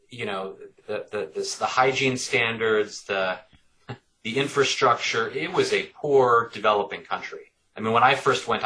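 A middle-aged man talks through his answers in an interview, doubling back on words as he thinks. His voice sits a little low but rings bright and forceful. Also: poor audio. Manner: thoughtful, repeats words while working out ideas. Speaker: author guest.

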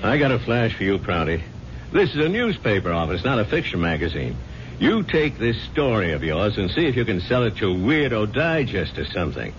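An actor playing a gruff, scornful newspaper editor in a 1970s radio drama. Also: male voice, very animated, a little fast. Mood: gruff, dismissive. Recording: muffled old radio recording, faint rumble.

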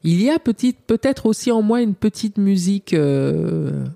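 A middle-aged woman, a TV journalist, speaking reflectively in an interview. She often pauses with fillers and restarts her sentences. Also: mid-range voice, animated, vocal fry, mellow. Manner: hesitant, many fillers and restarts.

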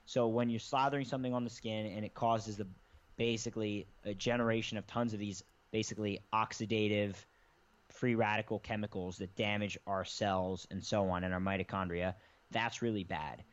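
A man's voice: on the low side, fairly even intonation, moderate pace. A man explaining things in a rambling, casual way as a podcast guest, often correcting himself mid-sentence. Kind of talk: casual conversation. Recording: slightly dull lo-fi sound.

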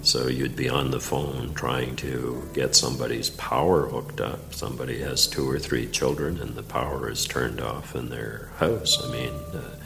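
Very deep, gravelly older man. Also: fairly even intonation, a bit bright. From Nova Scotia, Canada. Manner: halting, many "uh" fillers and restarts. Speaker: former provincial legislator. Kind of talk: interview storytelling.

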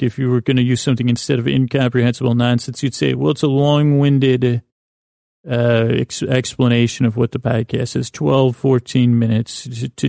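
A man with a creaky voice hosting a podcast, delivering a meandering, halting, self-deprecating bedtime monologue full of digressions and pauses. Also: medium pitch, warm tone, no room sound, clean studio sound. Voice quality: creaky, gravelly. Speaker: podcast host.

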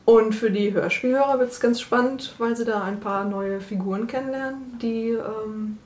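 A woman's voice: a little high, normal speed, a little mellow. A woman working as an editor, giving an informal, spontaneous interview statement. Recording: echoey room.

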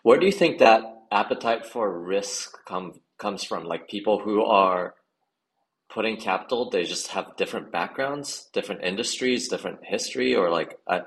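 A man with a very low voice hosts a podcast interview. He asks curious questions that ramble a little as he works them out aloud. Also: animated, moderate pace, gravelly, low-quality recording. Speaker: podcast host.

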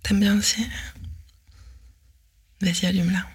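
A woman whispering a sensual roleplay to the listener in a breathy, commanding way. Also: medium pitch, fairly even intonation, unhurried, darkish tone. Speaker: narrator of an intimate audio roleplay.

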